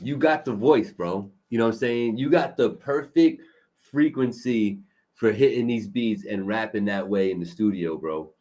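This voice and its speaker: A man's voice: fairly low pitch, moderate pace, a little mellow. Encouraging slangy male talk-show host. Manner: encouraging, slangy, keeps saying "you know what I'm saying". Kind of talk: casual talk show chat.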